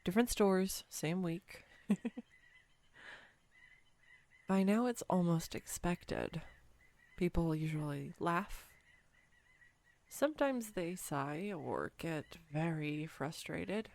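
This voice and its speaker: Slow-paced, clumsy, self-deprecating girlfriend, acted by a woman. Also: fairly low pitch, lively intonation, a little mellow. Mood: shy, confiding. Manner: flustered, self-deprecating, chatty with bursts of laughter. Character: clumsy, giggly, self-deprecating girlfriend on a swan boat date. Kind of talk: roleplay audio drama acting, intimate one-sided conversation.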